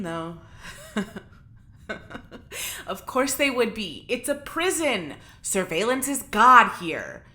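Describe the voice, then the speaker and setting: A bright, tense, agitated prisoner. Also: female voice, on the low side. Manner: stammering, halting, talking to herself. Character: agitated, defiant woman prisoner in space, fighting panic. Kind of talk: audio drama monologue.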